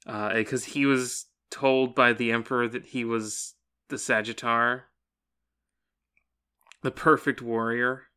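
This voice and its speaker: A man's voice: medium pitch, little pitch movement, slow, pauses often, sharp tone, clear, resonant tone. A man co-hosting a podcast, discussing a book casually with a wry, informal manner and some swearing. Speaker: podcast co-host.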